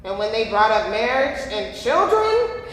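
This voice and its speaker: Actress playing a restless twenty-one-year-old woman for stage drama; unhurried, animated delivery, long hall reverb. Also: on the high side. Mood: exasperated. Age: young adult. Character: frustrated, yearning 21-year-old queer woman in 1966 Baltimore. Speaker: actor in a staged reading. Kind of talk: staged play reading. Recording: very echoey hall, noisy live stream.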